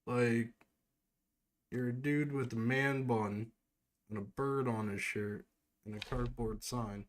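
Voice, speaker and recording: Sarcastic slow-talking man streamer. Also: mid-range voice, steady tone, a little hiss or hum. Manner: sarcastic, repeats phrases mockingly. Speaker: streamer commenting on a video. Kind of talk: mocking live commentary.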